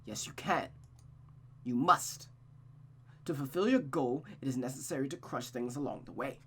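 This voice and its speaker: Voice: medium pitch, normal speed, bright, forceful tone, slightly rough. A performer voicing a cold, manipulative mother who coaxes and dominates her child with silky endearments in a visual novel. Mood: coldly persuasive.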